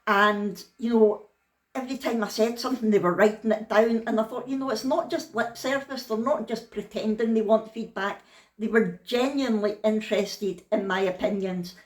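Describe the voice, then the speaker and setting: A woman's voice: on the high side, steady tone, normal speed. Frank Scottish woman vlogger, echoey room. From Scotland. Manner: frank, down-to-earth storytelling. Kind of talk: casual chatty vlog.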